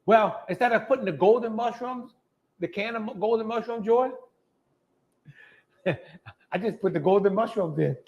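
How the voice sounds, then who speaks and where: High-pitched, folksy man. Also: normal speed, pauses often, a little mellow, lo-fi sound. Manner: folksy, chatty, colloquial. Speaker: host of a live cooking show. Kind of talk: casual live cooking demonstration.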